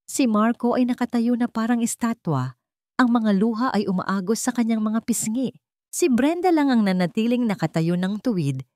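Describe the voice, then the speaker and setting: A woman narrating a scripted family drama in a measured, suspenseful way, phrase by phrase. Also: a little high, animated, warm tone, dry recording, studio quality. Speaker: story narrator.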